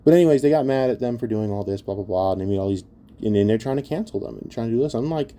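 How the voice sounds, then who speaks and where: A man's voice: mid-range voice, expressive, rapid-fire, dark tone, a little hiss or hum. A man giving an opinionated commentary rant in a blunt, exasperated and scornful manner, swearing heavily. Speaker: online commentator.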